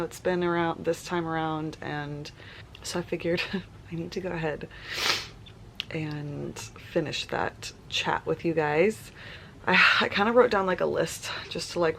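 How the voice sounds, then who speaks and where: A woman's voice: low-pitched, creaky, a bit bright, slight background noise. A woman, chatting casually in a vlog and sounding congested and nasal, laughing often. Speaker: vlogger. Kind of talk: casual pregnancy vlog chat.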